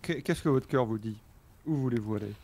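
A man's voice: mid-range voice, vocal fry. A man in his thirties streaming a team shooter game from his room on a headset, calling plays to his friends. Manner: quick, animated shot-calling. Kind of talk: live game chat with teammates.

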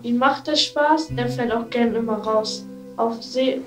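A teenage girl narrates in a simple, slightly hesitant voice-over. Her voice is fairly high and sounds distant in a roomy space, with guitar music playing underneath. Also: unhurried. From Germany. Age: teens. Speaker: youth video reporter.

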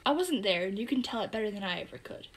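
A woman's voice: medium pitch, animated, fast. A woman playing a cool, teasing young girl in audio drama dialogue, casual in manner. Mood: easygoing, teasing.

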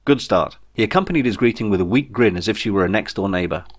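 A man's voice: on the low side, animated, fast, slightly rough. A man reading a comic novel as scripted audiobook narration, in a wry storytelling manner. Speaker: author reading own novel.

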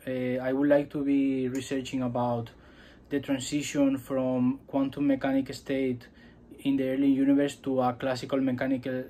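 A man's voice: medium pitch, darkish tone. A young man from Spain talking casually to camera in a vlog, hesitant, with many fillers and restarts. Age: 20s. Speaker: vlogger. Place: small room indoors.

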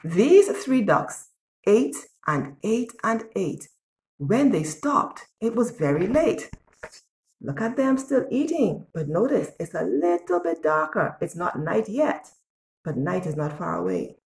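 Expressive older woman storyteller, unhurried. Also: on the low side, creaky, slight background noise. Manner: expressive, deliberate, asks the listener questions. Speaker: children's storyteller. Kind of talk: picture-book read-aloud to young children. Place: small bare-walled room indoors. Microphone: phone or tablet at arm's length. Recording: echoey room, device at arm's length.

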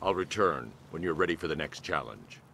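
An actor playing a grim, commanding armored vigilante in a video game, terse and measured with a gravelly voice. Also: male voice, animated, fairly bright tone, slight background noise, heavily acted. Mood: stern, measured. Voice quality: very low gravelly voice. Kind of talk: video game cutscene acting.

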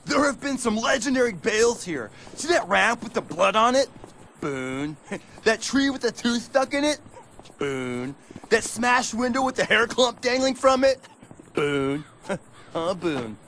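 A man's voice: very high voice, very animated, a little slow, a bit bright, a little hiss or hum, heavily acted. A man playing a goofy, gleeful slacker skater who teases as he tells tales in a video game. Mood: gleeful teasing. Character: goofy gleeful motormouth slacker skater, useless trivia buff. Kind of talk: video game cutscene acting.

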